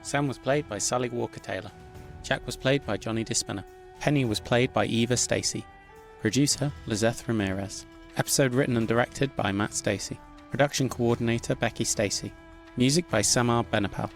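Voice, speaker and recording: A man's voice: mid-range voice, fairly quick. Man reading credits over music. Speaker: credits announcer. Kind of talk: scripted credits read.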